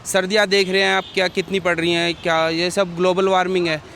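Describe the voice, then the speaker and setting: A man's voice: high-pitched, flat delivery, fast talker, a bit bright. A man answering a reporter on the street, arguing his view point by point. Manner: argumentative, reasons point by point. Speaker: passerby giving opinion. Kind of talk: street interview. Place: outdoors by a busy street. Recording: faint traffic noise.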